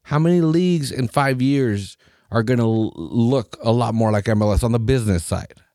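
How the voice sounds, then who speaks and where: A man from Mexico, hosting a podcast in a profane, animated rant full of mocking voices. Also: medium pitch, normal speed, dry recording, clean studio sound. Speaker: podcast host. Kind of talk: casual opinionated rant.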